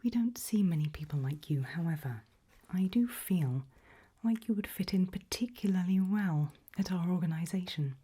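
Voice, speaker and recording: A woman in an ASMR roleplay voicing a polite, quietly menacing HR interviewer in a breathy, deliberate whisper. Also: lowish voice, lively intonation. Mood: calm, veiled menace. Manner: slow, deliberate, softly spoken.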